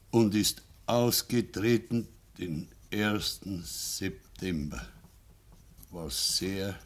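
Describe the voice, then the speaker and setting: A stern, embittered Bavarian farmer for a dialect radio play. His voice sits a little low. He speaks in a gruff, deliberate way, like a father brooding over his household's honour. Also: exaggerated voice.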